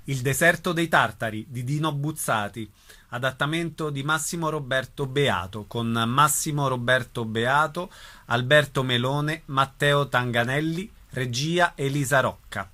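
A man's voice: medium pitch, measured pace, bright, forceful tone. A man with a mid-range voice makes a scripted radio announcement. He reads out credits as an announcer would.

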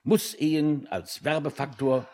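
An actor playing a pompous, self-satisfied general director giving a declamatory boardroom speech in a radio play, husky-voiced. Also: male voice, fairly high pitch, steady tone, measured pace, a little mellow, natural voice. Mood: jovially grandiose.

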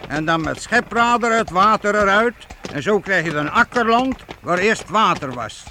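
Hearty guild master, noisy recording. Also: male voice, high voice, animated, moderate pace. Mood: earnest, fatherly. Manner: jovial, folksy, exclamatory. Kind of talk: radio play acting. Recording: noisy old radio play recording.

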